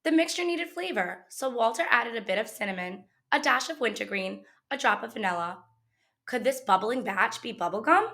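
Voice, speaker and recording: A woman reading a children's picture book aloud as a teacher would, in an expressive storytelling manner. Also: on the high side, moderate pace.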